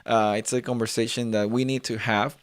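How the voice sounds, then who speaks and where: A Spanish-speaking man in his twenties hosts a relaxed live chat, his Latin American accent a blend of many places. His voice is smooth and resonant, recorded close and dry. He talks in a friendly, rambling way, repeating words and leaning on "like.". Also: medium pitch, a little fast, no echo. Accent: mixed Latin American Spanish. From Peru. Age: 20s. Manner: chatty, repeats words, fills with "like". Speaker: host. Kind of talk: live conversational interview.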